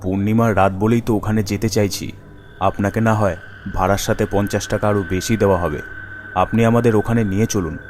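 Man doing a deep-pitched curious young adventurer. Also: fairly even intonation, brisk, darkish tone, slight background noise, close to an everyday voice. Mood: insistent. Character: low-voiced young male friend, curious ghost-hunting adventurer. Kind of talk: animated ghost story character voice.

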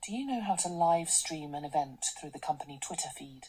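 A commanding businesswoman in a radio play. Her voice sits low with a husky edge. She speaks in a brisk, polished, assertive way, cool and sure of herself. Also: animated, dark tone, low-quality recording. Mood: brisk, probing. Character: brisk, commanding corporate businesswoman boss, cool and self-assured. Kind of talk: radio drama acting.

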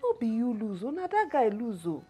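An actress playing a blunt, loyal friend with a Nigerian accent, scolding and reassuring with emphasis in film dialogue. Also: female voice, a little high, big pitch swings, brisk, mellow, husky. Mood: scornful, consoling. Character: blunt, loyal female friend consoling a heartbroken woman.

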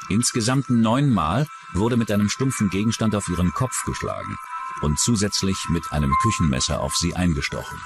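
A man narrates a true-crime documentary in scripted style. His voice sits fairly low and moves with lively intonation, with clearly audible music underneath.

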